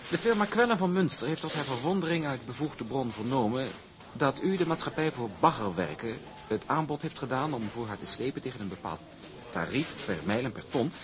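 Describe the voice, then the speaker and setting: An actor playing a pompous shipping company envoy in a Dutch period radio drama, formal and wordy, with oily politeness. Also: male voice, medium pitch, expressive, fairly quick, background noise, lightly acted. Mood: formal, accusing. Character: smooth, pompous male shipping company envoy, veiled menace.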